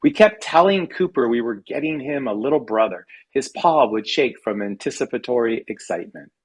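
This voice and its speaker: An American man giving an expressive reading of a humorous personal essay, with wry, dramatic emphasis. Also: medium pitch, moderate pace, husky. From California, United States. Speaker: writer reading own work at a literary reading.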